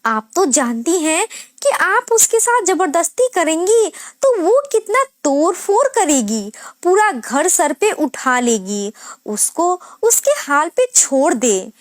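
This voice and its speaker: A woman's voice: extremely high pitch, very animated, slow, hardly any pauses, no room sound, studio quality, exaggerated voice. A woman voicing a dismissive, defensive husband who protects his sister, in a narrated story. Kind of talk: acted dialogue in a narrated story.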